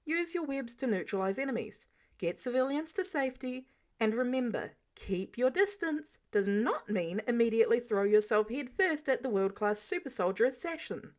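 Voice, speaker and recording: A woman voicing a stern, protective man who lays down rules in a sarcastic, bossy way for a story reading. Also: high-pitched. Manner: sarcastic, bossy, clipped commands. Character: stern, exasperated, protective adult man, mentor laying down rules.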